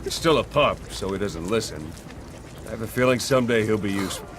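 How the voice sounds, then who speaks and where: An actor playing a wry, knowing veteran soldier and mentor in a video game. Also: male voice, fairly low pitch, animated, fairly quick, background noise, lightly acted. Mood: wry, fond. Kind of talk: dramatic video game voice acting.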